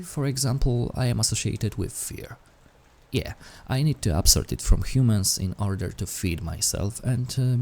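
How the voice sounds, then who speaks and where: Casting: male actor as intimate incubus demon for roleplay audio, dark mellow tone, gravelly and breathy. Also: fairly low pitch, exaggerated voice. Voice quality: husky, breathy, often dropping to whisper. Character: incubus sleep paralysis demon, menacing turned awkwardly tender.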